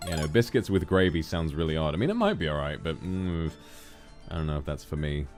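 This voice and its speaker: A man's voice: very deep voice, lively intonation, brisk, soft background music. A man from the United Kingdom with a British accent, explaining slang in a playful, articulate, casual chat. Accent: British English. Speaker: streamer and co-host. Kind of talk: casual language-lesson chat.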